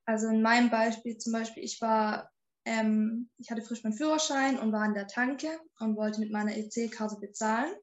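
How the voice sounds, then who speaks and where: A chatty young woman of twenty-one with a fairly high voice tells stories casually. Her voice rings in a roomy, echoey space. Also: fairly even intonation, moderate pace, muffled recording. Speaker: student podcast co-host. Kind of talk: casual chat.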